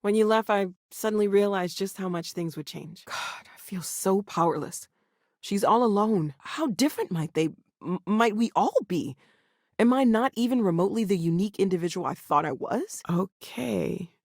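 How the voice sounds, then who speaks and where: A woman's voice: mid-range voice, animated, moderate pace, no echo, studio quality. A woman playing an earnest, brainy scientist in a video game, thoughtful and slightly hesitant, eager but anxious. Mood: friendly, earnest, then worried, sad.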